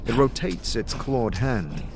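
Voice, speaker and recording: A man's voice: mid-range voice, sing-song, takes it slowly, background noise. A man giving dramatic, scripted storybook-style narration of a game tale in a theatrical, descriptive manner. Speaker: narrator and announcer. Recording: clear music behind.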